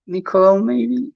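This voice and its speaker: Chilean spanish accent, speaks slow, lots of ums and restarts, dull lo-fi stream sound. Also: medium pitch, gravelly, darkish tone, dry recording. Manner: hesitant, many fillers and restarts, nervous laughs. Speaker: panel speaker. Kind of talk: live online panel talk.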